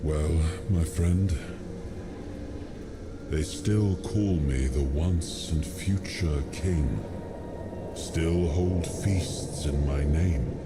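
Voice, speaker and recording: A man voicing the spirit of a legendary king, speaking slowly and solemnly, with loud game music behind. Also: very deep voice, very noisy, heavily acted. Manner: slow, solemn, brooding. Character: ghostly legendary king, grave and resolute.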